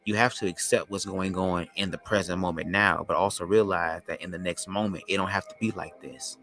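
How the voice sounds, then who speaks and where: A man with a low, raspy voice, hosting a podcast as a loose, slangy spiritual monologue. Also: fairly even intonation, a bit bright, soft background music. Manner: loose, slangy, drawn-out talk. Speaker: podcast host.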